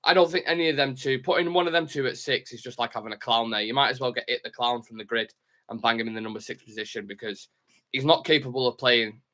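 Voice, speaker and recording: A man's voice: medium pitch, fast talker, sharp tone. Blunt man hosting a fan stream. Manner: blunt, opinionated, swears casually. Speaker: host of a football fan show. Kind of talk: casual live-stream chat reading comments.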